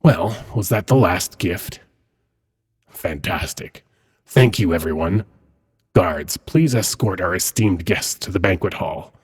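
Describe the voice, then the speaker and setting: A man playing a suave, haughty demon prince in a dramatic audio roleplay, speaking in a husky, measured, courtly and condescending manner. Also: deep voice, full character voice. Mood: formal, commanding. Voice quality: husky, breathy. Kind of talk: dramatic ASMR roleplay monologue.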